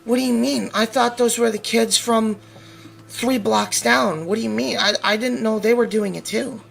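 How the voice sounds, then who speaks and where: A woman's voice: on the high side, normal speed, gravelly. A woman playing a stern, worried mother in an audio drama, questioning her teenage son with exasperation. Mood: subdued, concerned. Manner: scolding, pointed questioning. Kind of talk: amateur audio drama roleplay.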